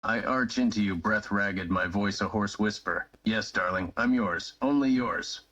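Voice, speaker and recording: A man playing a devoted, yielding young male lover in a live-streamed romantic roleplay reading, with slow, breathy, dramatic declarations. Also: fairly low pitch, a bit bright, dull audio.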